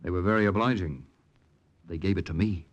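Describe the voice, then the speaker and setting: Low-voiced American secret agent, played by a man. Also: brisk, highs cut off, close to an everyday voice. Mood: cool, pointed. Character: cool, cheeky male American secret agent. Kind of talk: 1940s radio acting and first-person narration. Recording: noisy old radio broadcast recording.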